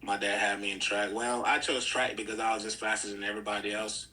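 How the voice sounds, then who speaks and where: A young man from Nigeria, a guest on an interview podcast, telling his story in a relaxed way with frequent "uh" fillers. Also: fairly low pitch, quick pace. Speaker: guest, pro athlete. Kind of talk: casual interview chat.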